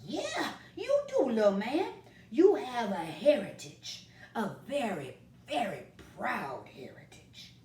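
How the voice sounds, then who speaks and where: A woman's voice: on the high side, wildly swooping pitch, slow pace, a little mellow, a little hiss or hum, full character voice. A woman voicing a loving old grandfather who talks in a folksy drawl, firm and reassuring, in a roomy recording from a distance. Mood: firm, warm.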